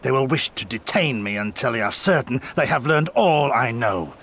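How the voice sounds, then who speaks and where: A stern rebel warrior speaks in a gravelly, forceful voice with strong swings of pitch. He is grave, formal and resolute, as in a fantasy game, with faint ambience behind him. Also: animated, moderate pace, slight background noise. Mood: resolute, urgent. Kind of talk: video game voice acting.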